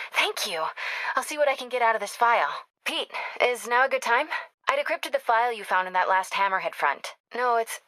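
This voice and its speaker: An actress playing a sharp, determined young American investigative reporter in a video game, speaking in a wry, brisk, conversational way as if on the phone. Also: female voice, fairly high pitch, dry recording. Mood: friendly, upbeat. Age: young adult.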